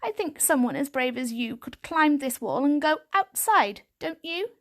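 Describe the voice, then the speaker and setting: Elderly tomcat grandfather for a storybook, played by a woman: high-pitched, brave, fiercely urgent and commanding. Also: big pitch swings, normal speed, fairly bright tone. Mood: calm, encouraging. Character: proud old male grandfather cat, fierce, urgent, brave.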